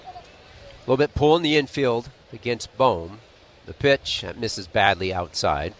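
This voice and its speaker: An American man gives conversational radio play-by-play of a baseball game. His medium-pitched voice swings up and down in a very lively way, over faint background noise. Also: measured pace.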